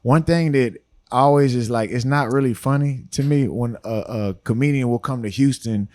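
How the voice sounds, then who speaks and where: A middle-aged man, chatting casually as a podcast guest, relaxed and full of "you know what I'm saying". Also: mid-range voice, normal speed, dry recording, studio quality.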